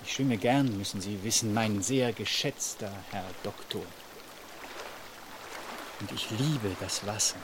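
A man's voice: on the low side, measured pace, pauses often, heavy background noise, close to an everyday voice. An actor playing a grandiose, unhinged king in a radio play, with an exalted, declamatory delivery. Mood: grandly musing. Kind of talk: German radio play acting.

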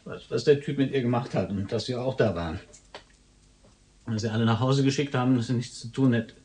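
A man's voice: mid-range voice, a little fast, a little mellow, slight background noise, close to an everyday voice. Actor as a defensive, frightened male suspect. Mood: bitter, defensive. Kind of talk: German radio drama acting.

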